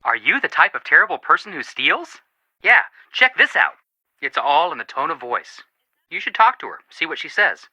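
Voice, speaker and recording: An actor voicing an excitable, flippant young male amateur detective in a video game, joking in an exaggerated, mock-dramatic way. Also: fairly high pitch, moderate pace, slightly rough, no echo, full character voice, poor audio. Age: young adult.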